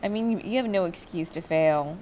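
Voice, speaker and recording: A woman's voice: fairly low pitch, fairly quick, a little mellow, highs cut off, a little hiss or hum. A woman hosting a gameplay video, chatting casually, joking and swearing freely. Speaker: gaming video host. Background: game music.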